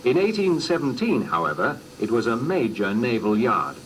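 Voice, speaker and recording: A man reading scripted documentary narration in a measured, formal storytelling manner, on an old cassette recording. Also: medium pitch, sing-song, a bit bright, a little hiss or hum, poor audio.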